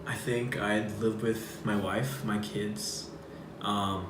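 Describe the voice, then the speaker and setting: A man's voice: on the low side, fairly even intonation, slow, background noise. A young man from Sri Lanka giving casual, simple interview answers. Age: young adult. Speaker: interviewee, student. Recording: echoey room, guitar music over it.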